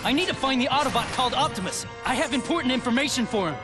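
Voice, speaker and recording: Bumblebee, an eager high-voiced young robot. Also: male voice, expressive, racing. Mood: urgent. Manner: urgent, earnest. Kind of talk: video game cutscene acting. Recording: game music behind.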